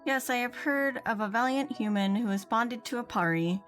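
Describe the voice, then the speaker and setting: A woman's voice: fairly high pitch, normal speed, a bit bright. Woman livestreaming a game over music. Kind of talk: casual game stream chat, reading in-game dialogue aloud. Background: video game music.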